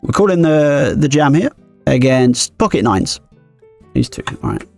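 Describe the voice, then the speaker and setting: A man streaming his poker games live, thinking aloud quickly and analytically as he explains each decision. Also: mid-range voice, sing-song, creaky, a little mellow, soft background music.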